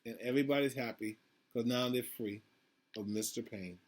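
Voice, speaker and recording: A man's voice: medium pitch, normal speed. A man, a school principal, reading a picture book aloud to children, with asides and questions put straight to them. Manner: addresses children directly, asks questions, repeats himself.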